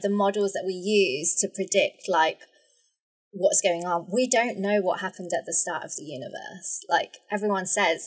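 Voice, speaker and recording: A woman with a husky, slightly rough voice talks as an expert guest. Her explanations are casual and informal, like a relaxed conversation among colleagues. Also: fairly low pitch. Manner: informal, sprinkles "like" and "right?". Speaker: scientist, panel guest.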